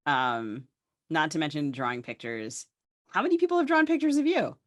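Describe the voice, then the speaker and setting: A woman's voice: sits low, sing-song, normal speed, fairly bright tone, no room sound. A woman co-hosting a podcast, moving between casual chat and scripted introductions. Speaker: podcast co-host.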